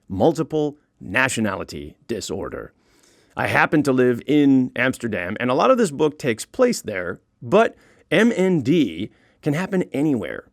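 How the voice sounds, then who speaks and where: A man's voice: mid-range voice, vocal fry, no echo, clean studio sound. A man with an American accent reading scripted comic narration as the author of an audiobook, wry and theatrical in his storytelling. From United States. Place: recording studio.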